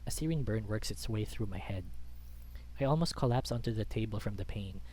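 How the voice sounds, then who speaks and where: A man's voice: fairly low pitch, lively intonation, fairly quick, mellow, low-quality recording. A man reading a visual novel aloud as dramatic first-person story narration.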